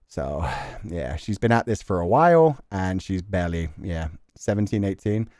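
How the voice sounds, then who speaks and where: A man's voice: sits low, animated, normal speed, darkish tone. A man musing aloud and reading narration as he plays through a visual novel. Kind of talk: casual game commentary and narrated reading. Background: music.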